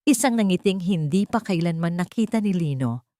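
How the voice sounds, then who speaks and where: A woman's voice: on the low side, expressive, normal speed, mellow, dry recording, clean studio sound. A woman with a Filipino accent reading scripted, dramatic narration of a romance story.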